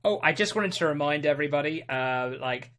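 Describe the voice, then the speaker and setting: A man co-hosting a casual gaming podcast, chatting in an excitable, jokey way. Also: fairly high pitch, a little fast. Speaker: podcast co-host.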